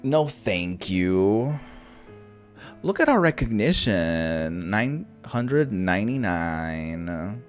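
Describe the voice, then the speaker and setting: A man doing casual let's-play commentary, thinking out loud with some mild swearing over background music. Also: low voice, very animated, extremely slow. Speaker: gaming host. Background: background game music.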